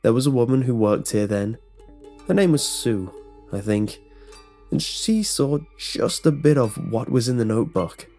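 Man voicing a wry, gossipy co-worker, bright voice. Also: medium pitch, lively intonation, moderate pace, soft background music. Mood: grimly gossiping. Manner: casual, conversational, rambling recollection. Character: Jerry, older male co-worker, wry, gossipy storyteller. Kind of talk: horror story dialogue.

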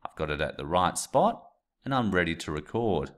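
Man, 30s, very low voice, plain step-by-step tutorial explainer on a desk USB mic. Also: sing-song, moderate pace. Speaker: teacher. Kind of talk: screen-recorded tutorial. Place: small indoor room. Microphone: USB desk mic, webcam framing.

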